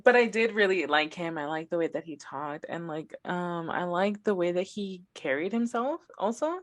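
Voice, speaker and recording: Low-voiced chatty woman podcaster. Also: animated. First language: Spanish. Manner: chatty, storytelling, quick bursts. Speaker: podcast co-host. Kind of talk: casual chat.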